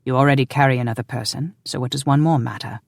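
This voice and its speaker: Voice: medium pitch, steady tone, fast talker, gravelly, dry recording. A narrator voicing a protective, exasperated husband who is commanding and sardonic but loving. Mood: firm. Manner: stern, sardonic, insistent.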